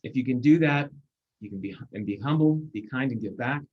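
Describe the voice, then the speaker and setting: A man in his forties, a guest speaker, giving a measured, earnest talk over a video call, with fillers and restarts. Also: mid-range voice, husky. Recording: muffled video call audio.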